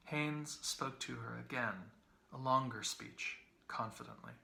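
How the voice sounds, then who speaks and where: Guy reading a literary novel, careful and expressive, bright gravelly voice, roomy sound. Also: mid-range voice, a little slow. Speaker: audiobook reader, English professor.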